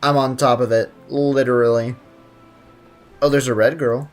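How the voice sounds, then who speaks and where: A man's voice: medium pitch, steady tone, moderate pace, soft background music. A man live-streaming a game, commenting in a joking, playful way with lots of slang.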